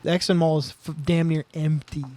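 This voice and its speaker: A man's voice: a little high, little pitch movement, fairly quick, darkish tone. A man in his early thirties on a podcast, quipping and laughing easily. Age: 30s. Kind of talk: casual chat.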